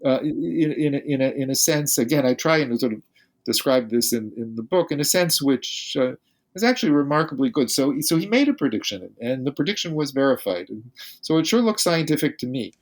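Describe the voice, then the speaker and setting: Older male academic guest, mid-pitched, halting delivery full of restarts. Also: lively intonation. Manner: halting, many restarts and repeated words. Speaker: physicist guest. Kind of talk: unscripted podcast interview.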